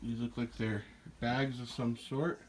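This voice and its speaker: A man in a small indoor craft store narrates a casual walkthrough, relaxed and rambling with frequent fillers. His pitch sits mid-range for a man. Faint noise runs under the recording. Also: a little slow. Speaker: podcast host giving a store tour.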